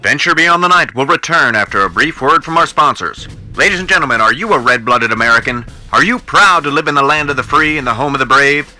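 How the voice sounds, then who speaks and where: A man playing an excitable, patriotic old-time radio announcer delivering a brisk, punchy sponsor advertisement. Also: medium pitch, faint music behind, pitched above the performer's own voice, poor audio. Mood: excited, hard-selling. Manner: brisk, punchy, hard-selling.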